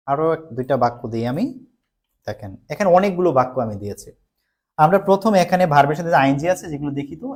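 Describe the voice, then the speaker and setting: Male grammar tutor, Bangladeshi accent, somewhat high-pitched, explains in repeated small steps and often checks understanding. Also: darkish tone. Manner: repetitive step-by-step explaining with frequent check questions; mixes in English terms. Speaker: English grammar teacher. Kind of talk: live online grammar lesson.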